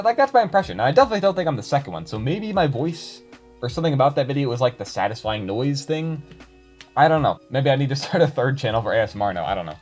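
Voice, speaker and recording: A man's voice: medium pitch, a little mellow. A young man chatting casually to his viewers, rambling with many fillers and restarts. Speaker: YouTuber reading comments.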